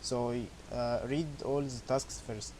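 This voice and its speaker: A man's voice: medium pitch, steady tone, takes it slowly, a little mellow, slight background noise. A young man from Egypt co-presenting an online coding session, friendly and a bit hesitant. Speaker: learning ambassador, co-presenter. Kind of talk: casual online tutorial talk.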